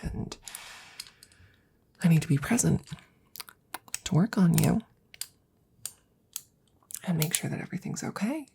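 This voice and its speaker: Girl voice actor doing a nervous rambly genius scientist for asmr roleplay, creaky and lowish, stammery, keeps going whispery. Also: expressive, unhurried, long pauses, a little hiss or hum. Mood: worried, resolute. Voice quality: soft, breathy, whispery. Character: anxious devoted woman scientist, rambling sci-fi supervillain genius. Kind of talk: intimate ASMR audio roleplay. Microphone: close microphone.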